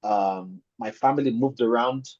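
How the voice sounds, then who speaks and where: A man's voice: mid-range voice, a little slow, a little mellow. A man in his thirties with a Nigerian accent, giving an informal webinar talk about himself, conversational and rephrasing for emphasis over an online call. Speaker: webinar speaker. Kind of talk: informal presentation telling a personal story. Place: online video call with screen sharing. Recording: muffled lo-fi online call audio.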